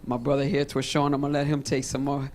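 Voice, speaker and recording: A man's voice: mid-range voice, completely flat delivery, quick pace, a little mellow, a little hiss or hum. A man, a guest performer telling stories in a laid-back, joking way. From Puerto Rico, raised in New York.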